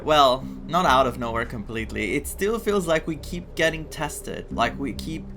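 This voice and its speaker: A game streamer from Greece giving casual commentary and reacting aloud while playing. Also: medium pitch. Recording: game music behind the voice. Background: game music.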